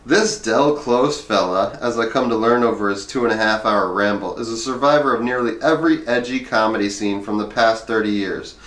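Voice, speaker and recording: A man's voice: lowish voice, moderate pace, few pauses, a bit bright, reverberant. A man reading a memoir aloud expressively, with casual asides and theatrical emphasis on key words. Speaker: reader of a memoir.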